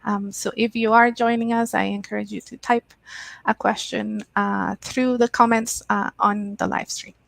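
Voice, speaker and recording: A woman moderating a live-stream panel over a video call, talking in a relaxed way with lots of fillers. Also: medium pitch, normal speed, creaky, no room sound. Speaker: executive director hosting the panel.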